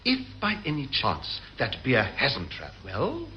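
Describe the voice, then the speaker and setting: An actor playing a stern, commanding naval captain with a grand, drily menacing manner in radio sitcom style. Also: male voice, mid-range voice, huge pitch swings, a little slow, lo-fi sound. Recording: noisy old radio comedy recording.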